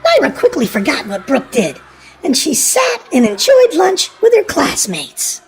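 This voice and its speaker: A woman reading a children's storybook aloud in an expressive, animated way. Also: sits high, measured pace, soft background music, low-quality recording. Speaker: storybook narrator.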